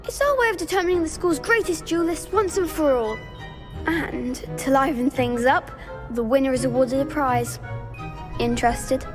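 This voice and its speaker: A young woman playing a confident schoolgirl who runs a dueling club in a fantasy game, chirpy and brisk. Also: sits high, over music, close to an everyday voice. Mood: eager, confident. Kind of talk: scripted video game acting.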